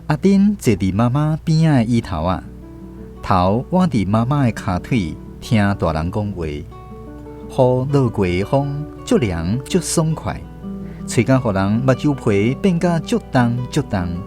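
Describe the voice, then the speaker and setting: Expressive Taiwanese man narrating a story. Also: medium pitch, unhurried, music in the background. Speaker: radio drama narrator.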